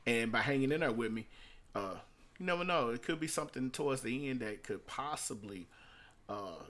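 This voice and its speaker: A man hosting a casual, unscripted video as a music producer and entrepreneur, speaking haltingly with emphatic repetition and shifting between subdued and hyped delivery. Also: lowish voice, bright, forceful tone. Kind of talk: casual unscripted vlog monologue.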